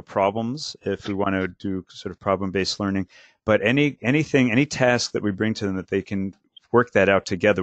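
A male language teacher talking casually on a panel, halting with many restarts and fillers. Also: lowish voice, no echo.

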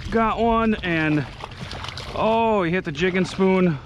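Higher-voiced man on a casual fishing vlog. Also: slow pace, noisy background. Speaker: fishing vlogger. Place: outdoors on a kayak on open water and on a beach.

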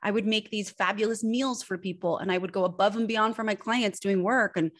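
An American woman in her thirties with a mid-pitched voice talks as a guest on a video-call interview. She tells personal stories in a candid, expressive, conversational way. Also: brisk. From Northern California, United States. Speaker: guest, personal branding image expert. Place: indoor room at home. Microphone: video call microphone.

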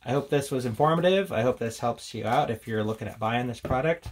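A man's voice: medium pitch, a little fast. A man giving a casual, off-the-cuff product review in an informal, chatty way as he presents. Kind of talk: casual unboxing and review chat.

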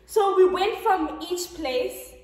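Animated, very high-pitched young South African woman. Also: takes it slowly. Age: 20s. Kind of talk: animated storytelling in casual group chat. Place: indoor room, seated before a plain wall. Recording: echoey, reverberant room.

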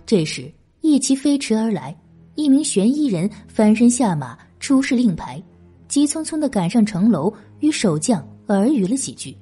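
A woman's voice: mid-range voice, warm tone, husky. A woman narrating a historical novel in scripted audiobook style. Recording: background music. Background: music.

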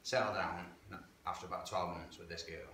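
Low-voiced man in echoey hall. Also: fairly even intonation, moderate pace, pushed and bright. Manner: frank, plain-spoken, chatty with local slang. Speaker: guest sharing life story. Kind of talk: candid personal testimony. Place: church hall, indoors, medium-sized. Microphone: far camera. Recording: echoey hall with background hiss.

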